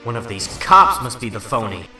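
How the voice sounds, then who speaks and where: Determined wisecracking superhero , male reader, melodramatic thinking aloud, lively, echoey room, comic-book drama. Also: mid-range voice, moderate pace. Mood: focused. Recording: roomy, distant mic, loud music underneath.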